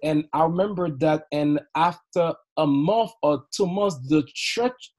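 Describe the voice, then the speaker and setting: A man's voice: on the high side, measured pace, a little mellow. A middle-aged man from Haiti with a Haitian accent, a youth pastor guest on a podcast, telling his story earnestly with frequent laughs and repeated words. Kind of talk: podcast interview, personal story.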